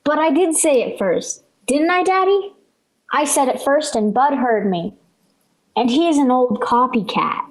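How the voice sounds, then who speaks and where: A woman's voice: high voice, expressive, unhurried, rough recording. A female performer playing a dramatic, pleading, bratty little girl in a 1940s radio sitcom. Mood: bratty insistence. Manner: melodramatic, insistent, plaintive. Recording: vintage 1940s radio broadcast.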